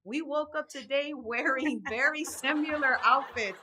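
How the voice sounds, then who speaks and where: Bright-voiced bubbly woman host. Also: sits high, unhurried, a little hiss or hum. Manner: bubbly, laughing, affirming. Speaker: podcast host. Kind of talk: casual interview chat. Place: studio.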